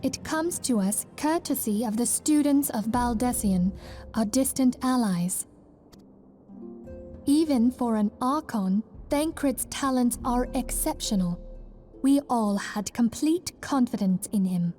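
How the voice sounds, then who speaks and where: A woman's voice: fairly high pitch, lively intonation, unhurried, mellow, faint music behind. A woman voicing a solemn, gracious leader of a heroic order in formal, earnest fantasy game dialogue. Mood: grave, explaining, then sombre concern.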